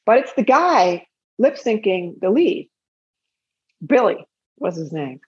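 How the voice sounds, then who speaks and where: A woman with a low husky voice, a singer chatting as an interview guest and telling a story with laughs. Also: big pitch swings, slow, darkish tone, dull audio. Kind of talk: casual interview chat.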